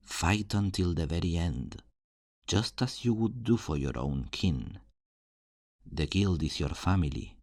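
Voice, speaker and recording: A man playing a charming, roguish fantasy thief in an ASMR roleplay, flirtatious, teasing and theatrical in his smooth talk. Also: low voice, animated, measured pace, a little mellow, no echo, studio quality, heavily acted. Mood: smooth instructing. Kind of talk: ASMR roleplay, scripted character acting.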